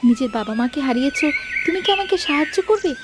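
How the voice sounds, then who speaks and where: A very high, quick voice plays a devoted young cartoon wife, acted by a woman. She is tender and emotional, with music clearly behind her. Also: expressive. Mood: sympathetic. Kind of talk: children's fairy tale cartoon voice acting.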